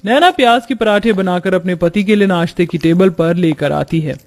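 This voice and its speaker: A man with a very high-pitched voice narrates a cartoon story. It is scripted storytelling, with faint music underneath. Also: moderate pace.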